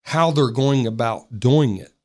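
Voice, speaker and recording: A man's voice: mid-range voice, a little slow, darkish tone. A man giving opinionated remarks in an interview, blunt and emphatic in how he puts things.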